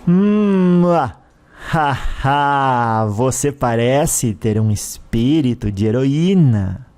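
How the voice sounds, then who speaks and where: A man's voice: mid-range voice, darkish tone. A man with a Brazilian accent playing a cruel, mocking, imperious ruler of a hellish spirit realm in a narrated story. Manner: menacing, imperious, taunting.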